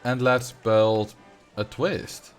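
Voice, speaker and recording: A man's voice: medium pitch, fairly even intonation, drawn-out words, clean tone, soft background music. A Dutch man with a mild Dutch accent, chatting casually through a game livestream and answering his chat. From Netherlands. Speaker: streamer. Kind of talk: casual livestream commentary while playing a game.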